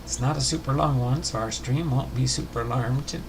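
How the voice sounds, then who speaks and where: A man hosting a livestream, talking casually and reading aloud in a halting way with uneven phrasing. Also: medium pitch, background noise. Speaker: host of a baseball biography livestream.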